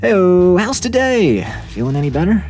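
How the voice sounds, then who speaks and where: Man playing a clingy, animated online chatter. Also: sits high, normal speed, soft background music. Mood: needy, anxious. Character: eager, clingy, apologetic male online chatter. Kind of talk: dramatized reading of chat messages.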